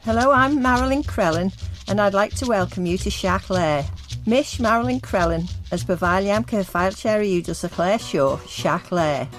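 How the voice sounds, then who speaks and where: A woman's voice: mid-range voice, moderate pace, soft background music, poor audio. A woman radio presenter reads a formal scripted welcome to her programme.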